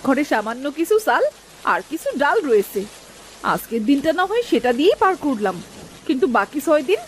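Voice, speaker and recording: A woman's voice: high voice, brisk, low-quality recording. A woman playing a worried, scolding village wife and mother in a cartoon, with rain heard behind her. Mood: worried, reproachful. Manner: nagging, exasperated. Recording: steady rain noise behind voice.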